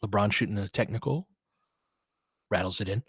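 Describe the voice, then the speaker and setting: A man's voice: lowish voice, a little fast, fairly bright tone, breathy edge, dry recording, studio quality. A man hosting a casual live basketball stream, mixing play-by-play with conversational, slangy analysis as he thinks aloud. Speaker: host and play-by-play commentator.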